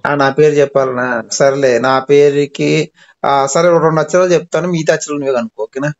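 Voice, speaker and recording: A man's voice: fairly high pitch, fairly even intonation, brisk. A man chatting casually on the phone in a playful, teasing, evasive way. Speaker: prank caller. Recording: muffled lo-fi phone call recording.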